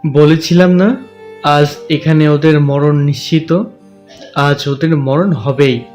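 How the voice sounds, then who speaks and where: Guy doing a cruel kidnapper villain in an audio story, slow n menacing, bit high pitched, music behind. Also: steady tone, a little mellow. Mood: gloating. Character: vicious male kidnapper villain, gloating and threatening. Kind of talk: dramatized audio story acting.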